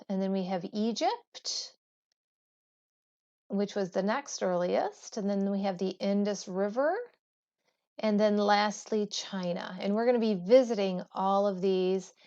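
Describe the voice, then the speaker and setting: Female schoolteacher, textbook read-aloud. Unhurried, explanatory delivery, slightly dull lo-fi sound. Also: medium pitch. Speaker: school teacher. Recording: dull, muffled lo-fi audio.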